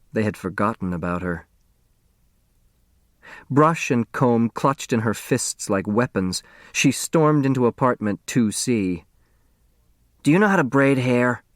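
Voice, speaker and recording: A man's voice: fairly low pitch, dry recording, clean studio sound. A man reading a novel aloud as an audiobook narrator, in scripted literary narration.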